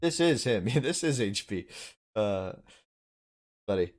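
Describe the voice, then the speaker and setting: A man's voice: fairly low pitch, animated, takes it slowly. A man streaming live match commentary, chatting informally with his viewers as he goes. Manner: chatty, informal, addresses chat as dude and buddy.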